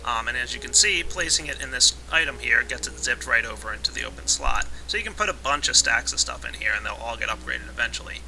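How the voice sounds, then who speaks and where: A man with a raspy voice today, casually explaining a modded game as its let's play host, with relaxed fillers. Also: mid-range voice, fast talker, few pauses, a little hiss or hum, low-quality recording. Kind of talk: casual gameplay tutorial narration.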